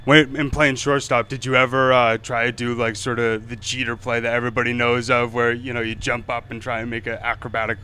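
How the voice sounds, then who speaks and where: Man hosting with clear voice. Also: medium pitch, flat delivery, brisk, hardly any pauses, pushed and bright. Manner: rambling questions with many fillers and restarts. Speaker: podcast host and interviewer. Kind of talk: casual interview chat.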